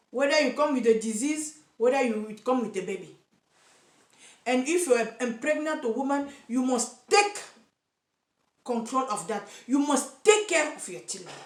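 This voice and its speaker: A woman from Cameroon with a Cameroonian accent, speaking straight to camera as an activist for single mothers, earnest and insistent, stumbling and repeating words as she searches for English. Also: high voice. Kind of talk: direct-to-camera vlog. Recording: echoey room.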